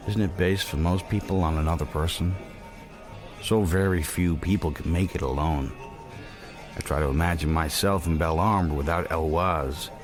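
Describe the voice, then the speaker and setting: An actor playing a worldly, watchful man narrating a radio drama in the first person, introspective, measured and dry. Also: very deep voice. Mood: pensive, wry. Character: worldly, reflective, watchful male narrator-protagonist.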